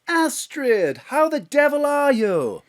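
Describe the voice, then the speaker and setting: A plummy flirty spy chap, eager and devoted, lively swooping voice, dry sound. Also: male voice, very high-pitched, slow, no echo, heavily acted. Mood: flirtatious. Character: posh, flirtatious, devoted gentleman spy chief. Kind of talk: comic audio drama acting.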